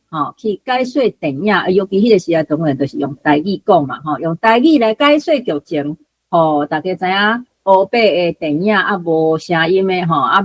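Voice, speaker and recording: A Taiwanese woman with a slightly low voice gives explanatory commentary. She talks off the cuff, dotting her sentences with particles and asides. The sound is very dry, with soft music faintly behind. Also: no echo. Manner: conversational, punctuated with particles and asides. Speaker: guest commentator.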